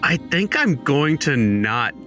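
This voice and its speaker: Male tabletop player, bright forward voice, casual and hesitant, faint music underneath. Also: a little high, very animated, takes it slowly. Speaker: player in a tabletop role-playing podcast. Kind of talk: casual game chat.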